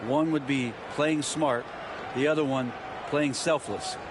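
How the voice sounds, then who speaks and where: A man's voice: medium pitch, fairly even intonation, unhurried, background noise, close to an everyday voice. A man voicing a broadcast basketball analyst in a video game, speaking in a folksy manner with homespun metaphors. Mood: analytical. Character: adult male TV basketball studio analyst. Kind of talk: scripted sports analysis. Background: game music.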